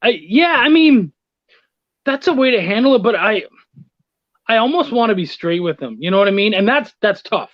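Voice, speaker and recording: A man with a high voice talks casually and earnestly as a guest. The sound is dry and somewhat muffled, like a lo-fi online call. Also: very animated, moderate pace, no room sound. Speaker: guest, freelance comics editor. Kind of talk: casual chat.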